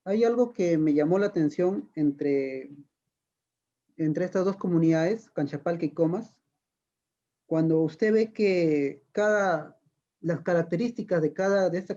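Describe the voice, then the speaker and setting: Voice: mid-range voice, dark tone, muffled recording. An interviewer asking a long, conversational question, hesitating and repeating words.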